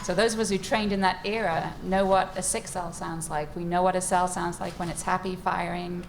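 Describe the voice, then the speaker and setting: A woman with a slightly low-pitched voice speaks as a panelist at a live event. She sounds enthusiastic and chatty, and she laughs easily as she talks about her research. Faint room noise sits behind her. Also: steady tone, moderate pace, vocal fry, poor audio. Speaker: neuroscientist panelist. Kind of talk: panel discussion talk. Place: event space with live audience.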